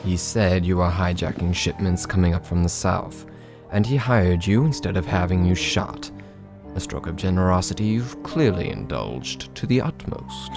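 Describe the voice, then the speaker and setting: A man playing a wary, sardonic, articulate fellow in an audio drama, deadpan and formal in his sarcasm. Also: low voice, moderate pace, music in the background. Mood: dry, irritated.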